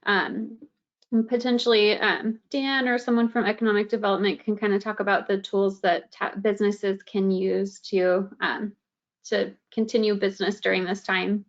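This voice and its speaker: A woman's voice: medium pitch, flat delivery, normal speed. A woman presenting tax information on a webinar, explaining plainly with frequent ums. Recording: dull lo-fi web call audio.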